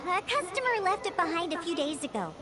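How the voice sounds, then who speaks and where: Give this anime game bartender a very high, swooping young voice, perky and cheeky, easily flustered, under loud music. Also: female voice, big pitch swings, a little fast. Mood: flustered, evasive. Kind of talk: anime video game dialogue acting. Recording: game music playing loudly behind.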